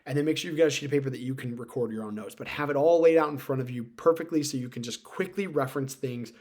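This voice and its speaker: Male career coach host, medium pitch, informal teaching delivery, emphatic with repeated key points. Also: fast, darkish tone. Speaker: career advice video host. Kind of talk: informal instructional talk to camera.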